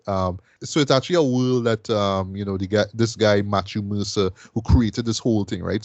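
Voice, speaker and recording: A man's voice: fairly low pitch, a little mellow, no room sound. A man from Trinidad, casually reviewing a show as a podcast host, rambling with lots of fillers and "right?" tags.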